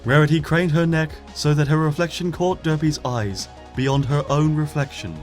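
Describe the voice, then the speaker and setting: A man reading a story aloud in a soft, gentle narrating voice. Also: on the high side, moderate pace. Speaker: fanfiction reader. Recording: faint music beneath the voice.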